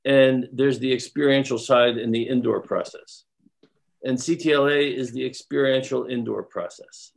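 A man's voice: mid-range voice, steady tone, moderate pace, gravelly. A man, a consultant guest in an interview, explaining his work step by step with some restarts.

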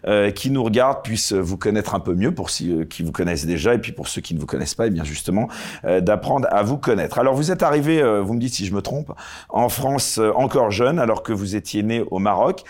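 A man's voice: on the low side, animated, a little fast, a little mellow. A man hosting an interview show, speaking formally and hesitantly with frequent fillers. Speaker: talk show host.

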